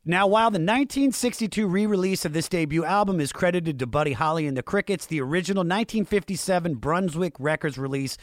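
A man's voice: high-pitched, quick pace, hardly any pauses, no room sound, studio quality. A man hosting a casual music podcast, talking informally and with enthusiasm. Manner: informal, enthusiastic, uses slang and swearing. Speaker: podcast host.

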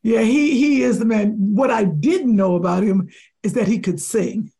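A woman comedian with a deep, raspy voice, chatting casually as a podcast guest, animated and rambling. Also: moderate pace.

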